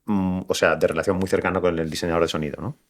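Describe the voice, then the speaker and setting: Guy from spain hosting a chat, lowish voice, talks fast, spain accent. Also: flat delivery. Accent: Spanish (Spain). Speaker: interviewer, host. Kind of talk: casual interview.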